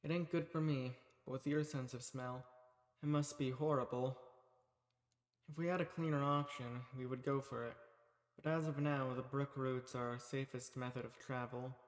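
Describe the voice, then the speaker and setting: A man's voice: mid-range voice, steady tone, moderate pace, natural voice. A man playing a folksy undercover rebel among monster hunters in a roleplay audio drama. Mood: friendly, reassuring. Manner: folksy, reassuring. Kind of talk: scripted roleplay audio drama addressed to the listener.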